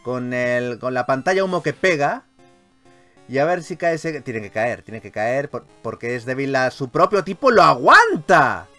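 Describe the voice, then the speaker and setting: A man with an accent from Spain giving casual, excitable gaming commentary, repeating his phrases and swearing freely. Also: medium pitch, normal speed. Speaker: gaming YouTuber, host. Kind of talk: casual, excitable Pokémon let's play commentary. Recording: game music behind voice. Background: video game music.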